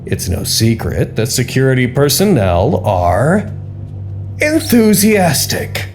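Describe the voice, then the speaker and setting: A male actor playing an amiable, nervously upbeat staffer who narrates his report in a wry, expressive, conversational way for an audio drama. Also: mid-range voice, slow pace, soft background music. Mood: wry, amused. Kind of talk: scripted audio drama monologue, personal report.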